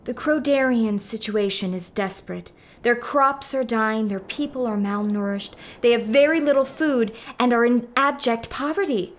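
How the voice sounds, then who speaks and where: A woman's voice: fairly high pitch, moderate pace, natural voice. A woman playing an earnest, conscientious starship doctor in a comedic sci-fi radio play, precise and easily exasperated.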